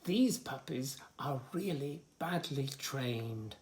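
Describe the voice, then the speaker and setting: A man's voice: mid-range voice, lively intonation, slow. A man voicing a flustered but patient dog who explains himself in exclamations in a children's storybook. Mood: harried. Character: flustered, patient dog looking after many unruly puppies.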